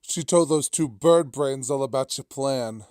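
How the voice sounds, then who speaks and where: A man's voice: medium pitch, unhurried, a little mellow, no room sound, clean studio sound, natural voice. A man playing a big henchman goon in an audio drama. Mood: smug, obedient. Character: big male henchman goon serving his boss.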